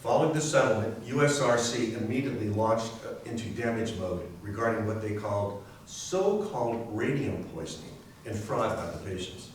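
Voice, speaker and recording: A man's voice: on the low side, lively intonation, normal speed, few pauses, close to an everyday voice. A man playing a lawyer who recounts a court case in a staged readers theater narration. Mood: grave, measured. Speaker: community theatre performer. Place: library readers theater performance, indoors. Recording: very echoey hall, distant, faint hiss.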